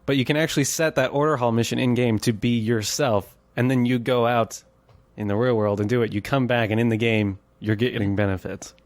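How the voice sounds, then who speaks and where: A man's voice: mid-range voice, steady tone, fairly quick. A man co-hosting a podcast, talking in a casual, conversational style. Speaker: podcast co-host. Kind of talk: casual chat.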